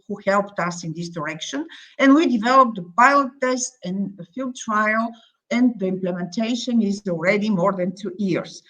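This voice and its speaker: A woman with a Bulgarian accent gives a conference presentation at a middle pitch. She speaks as a project coordinator, halting and repeating words as she stresses her points. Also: poor audio.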